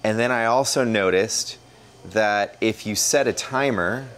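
A man in his thirties with an American accent, explaining in a relaxed way and giving a casual tour of a small bakery kitchen. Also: lowish voice, unhurried. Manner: explanatory, relaxed, many "uh" fillers. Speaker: bakery owner.

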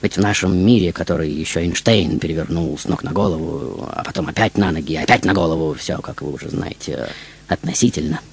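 An actor playing a vain, grandiose madman of a showman in a theatrical radio monologue, pompous and dramatic, with drawn-out pauses. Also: a bass voice, creaky, husky, full character voice. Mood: musing, confiding. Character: grandiose, mad male actor-genius, vain showman of many roles. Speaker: radio show host in character. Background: music.

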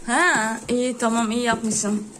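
Female, high voice, encouraging mum chatting with small kids in a noisy home video. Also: steady tone, takes it slowly. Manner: encouraging, praising, child-directed talk. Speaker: mother filming her children at home. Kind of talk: casual chat with young children. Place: indoors at home.

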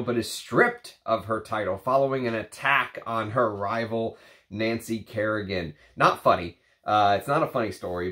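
A man with a fairly low voice talks to camera in an easy, rambling way, leaning on plenty of ums and uhs. He sounds congested and a bit nasal from a cold. It is recorded at his desk at home. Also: a bit bright. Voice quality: slightly nasal. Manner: chatty, rambling, frequent fillers. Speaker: perfume reviewer. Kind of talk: casual to-camera talk. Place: home room with shelves of perfume bottles.

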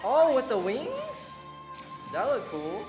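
A man chatting casually as co-host of a reaction video, excitable and slangy with frequent exclamations. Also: extremely high pitch, slow, over music. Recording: game soundtrack playing behind.

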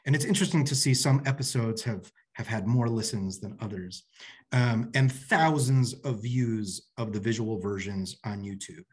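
A man's voice: lowish voice, normal speed, a little mellow, slightly rough. A man in his forties giving an informal presentation over a video call from an office, as a theatre director. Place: small office, indoors. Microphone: computer mic on a video call.

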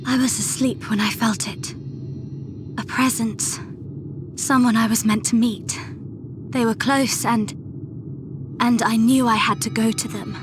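A timid, earnest teenage girl, voiced by a woman in a fantasy game. She is hesitant and breathy, fairly high in pitch, with music clearly behind her. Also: steady tone, a little slow, pauses often, lightly acted. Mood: hesitant, wondering. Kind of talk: dramatic video game voice acting.

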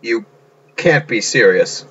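A man's voice: medium pitch, lively intonation, slow pace, a bit bright, highs cut off. Guy doing an alarmed teen boy from a horror game, blunt, cant believe it, some noise. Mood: incredulous.

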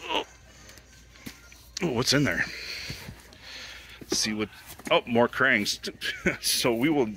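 A man filming a casual toy-hunting walkthrough, rambling excitedly as he lists the figures he spots on the store shelves. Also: fairly low pitch. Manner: excited, rambling, listing items as he browses. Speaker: toy collecting vlogger. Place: retail store aisles.

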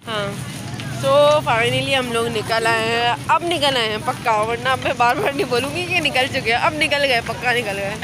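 A woman's voice: a little high, moderate pace. A young woman narrating a casual shopping vlog to her phone camera, giving running commentary as she goes. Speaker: vlogger. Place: outdoors at a busy market street and in a car, then indoors at home. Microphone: handheld phone camera. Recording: loud street noise behind.

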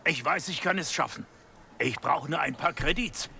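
An actor playing a desperate gambler in a video game, pleading and insistent. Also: male voice, on the high side, moderate pace, vocal fry, bright, forceful tone, a little hiss or hum, full character voice.

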